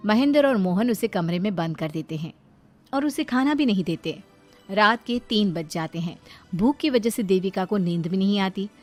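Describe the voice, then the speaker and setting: A woman's voice: mid-range voice, animated, moderate pace, a little mellow, faint music behind. A woman narrating a scripted dramatic moral story.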